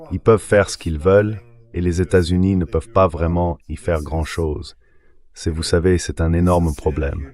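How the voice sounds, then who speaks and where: A man speaking as a guest analyst, giving measured, explanatory commentary on world affairs. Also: low-pitched, a little mellow.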